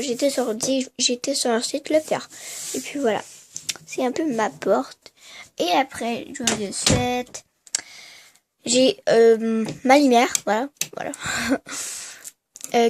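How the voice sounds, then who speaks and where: A woman's voice: a little high, a little mellow, a little hiss or hum. A female vlogger showing her bedroom in a casual tour, stuffy from a cold, hesitant with lots of fillers. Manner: hesitant, many fillers and trailing voilà. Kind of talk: casual room-tour vlog. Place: bedroom at home.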